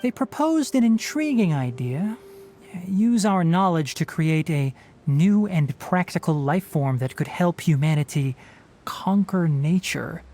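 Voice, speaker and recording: An actor playing a young fox-eared male forest ranger and scholar in a video game, speaking in a calm, measured, earnest way. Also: a little high, slight background noise. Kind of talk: dubbed game dialogue.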